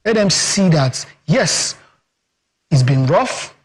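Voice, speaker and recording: Husky Yoruba-accented Nigerian man, higher voice. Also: sing-song, takes it slowly. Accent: Nigerian English, Yoruba. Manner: emphatic, rhetorical, leans on "guess what" and "you understand". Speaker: communications expert guest. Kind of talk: studio TV interview.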